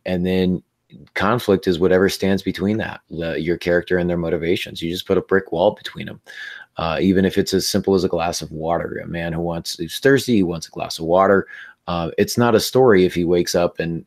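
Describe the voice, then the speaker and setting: A man hosting a writing podcast in a casual, halting way with many fillers, his voice worn down by laryngitis. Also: low-pitched, fairly even intonation. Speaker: podcast host. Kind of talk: casual podcast chat and teaching.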